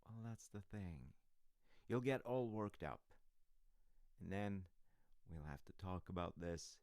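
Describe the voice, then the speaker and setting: A man playing a loving, sheepish husband in an intimate ASMR roleplay, talking in a halting, rambling, slightly sassy way. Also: low-pitched, animated, darkish tone, no room sound, studio quality, exaggerated voice. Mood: evasive, playful. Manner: halting, rambling, hedging delivery.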